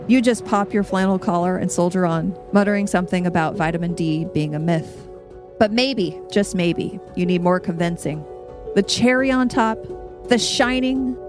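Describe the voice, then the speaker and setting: An American woman reading a scripted persuasive speech as a podcast guest, playful and tongue-in-cheek in a mock-grand delivery. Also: mid-range voice. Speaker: podcast guest making a debate case. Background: music under the voice.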